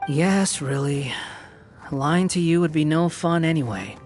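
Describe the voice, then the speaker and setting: A man's voice: fairly high pitch, a little slow, loud music behind the voice, natural voice. A man voicing an aloof, prickly young man in a video game, curt and dry but grudgingly kind. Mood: dry, matter-of-fact.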